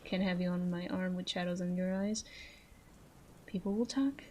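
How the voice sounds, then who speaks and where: A woman's voice: fairly low pitch, steady tone, darkish tone, slight background noise, close to an everyday voice. A woman playing a flirty, self-assured female mafia boss in a roleplay audio drama, coy and teasing as she speaks straight to the listener. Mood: smug, affectionate. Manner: coy, teasing, drawn-out phrasing with breathy asides.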